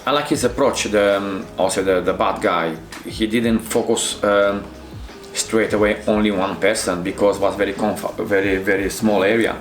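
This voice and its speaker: A middle-aged man from Romania with a Romanian accent, a Krav Maga instructor reacting to fight scenes in halting, restarting English. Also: fairly low pitch, steady tone, echoey room, faint music behind. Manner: halting non-native phrasing with many restarts.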